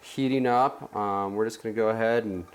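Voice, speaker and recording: A man in his thirties, a chef, calmly explaining a salad recipe in a home kitchen with plenty of ums. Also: lowish voice, normal speed. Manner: relaxed, explanatory, many ums. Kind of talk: cooking demonstration. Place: large home kitchen, indoors.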